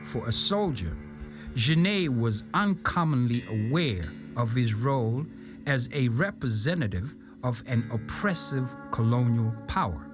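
A man reads scripted documentary narration in the role of narrator. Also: medium pitch. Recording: music behind the voice. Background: music.

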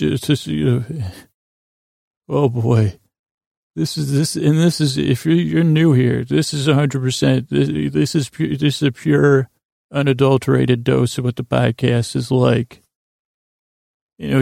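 A man hosting a podcast, rambling in a halting, self-deprecating, whimsical monologue that keeps wandering off topic, with a gravelly, creaky voice. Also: medium pitch, steady tone, mellow, dry recording, studio quality. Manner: meandering, halting, goes off topic mid-sentence. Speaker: podcast host.

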